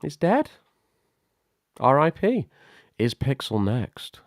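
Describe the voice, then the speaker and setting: A man hosting a casual tech livestream, chatty and greeting viewers by name, his voice a little croaky from a cold. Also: mid-range voice, wildly swooping pitch, takes it slowly, no room sound, clean studio sound. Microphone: studio microphone.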